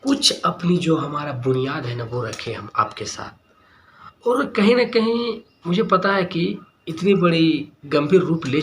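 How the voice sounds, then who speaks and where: A man's voice: fairly high pitch, fairly bright tone, a little hiss or hum. A man giving an earnest opinion monologue to viewers as a vlogger, speaking emphatically and repeating key words and phrases.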